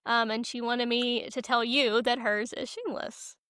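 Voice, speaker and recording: A woman's voice: a little high, fairly even intonation, normal speed, a bit bright, clear, resonant tone, dry recording, clean studio sound. A woman hosting a podcast in casual chat, speaking informally with youthful slang. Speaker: podcast host.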